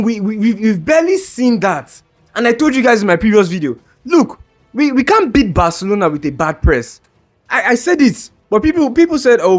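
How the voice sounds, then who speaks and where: A young man ranting in a heated, exasperated way about a football match, stumbling over restarts and straining his voice. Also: high voice. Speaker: football fan vlogger. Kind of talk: ranting reaction video.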